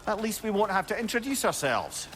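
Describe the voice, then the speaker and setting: A clever, sardonic old companion from a video game. His voice sits fairly high for a man and leaps around with great animation. He is wordy and wry, with music behind him. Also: big pitch swings, brisk, fairly bright tone. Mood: resigned, wry. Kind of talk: video game character acting.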